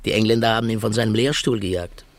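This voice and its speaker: An actor playing a scholarly court official with a gravelly, husky voice, measured and dry. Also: male voice, on the low side, steady tone, close to an everyday voice. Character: scholarly court official gossiping about the trial. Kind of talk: radio play acting.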